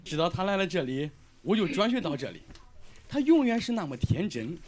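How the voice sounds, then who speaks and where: A man acting a lovestruck, sentimental young male student in a Chinese audio drama, with a regional dialect accent and an animated, emotional delivery. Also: high-pitched, a little fast. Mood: wistful.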